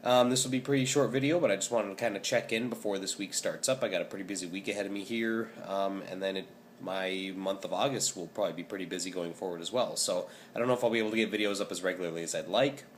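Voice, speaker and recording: A young man in his twenties with a scratchy, hoarse voice, talking casually in a rambling vlog update with many ums. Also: deep voice, fairly even intonation, quick pace. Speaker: vlogger.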